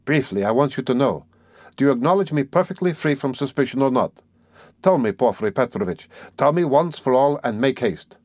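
A man's voice: medium pitch, expressive, normal speed, dry recording. Guy doing a haughty young suspect from an old novel, defiant n worked up, super dry recording. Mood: imperious. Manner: imperious, defiant, agitated. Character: haughty, furious, tormented young man under suspicion. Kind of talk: dramatic audiobook character reading.

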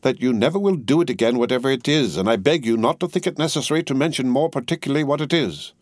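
A man's voice: mid-range voice, animated, fast, no room sound, clean studio sound, pitched above the performer's own voice, close to an everyday voice. A man voicing an agitated, tearful lady companion who talks in wild distress. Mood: distraught.

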